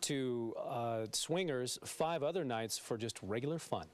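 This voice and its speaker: A man's voice: medium pitch, unhurried. Male American news anchor, mid-range voice, scripted morning bulletin delivery.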